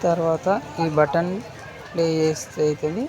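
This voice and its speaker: A man's voice: on the high side, level tone, normal speed, muffled recording, heavy background noise. A man gives an informal, step-by-step product demonstration, often repeating phrases as he instructs. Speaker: product unboxing presenter.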